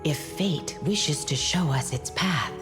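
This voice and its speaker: A woman voicing a calm, thoughtful companion in a video game. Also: low-pitched, slow. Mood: teasing, thoughtful. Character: calm companion proposing a fortune reading. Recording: music clearly underneath.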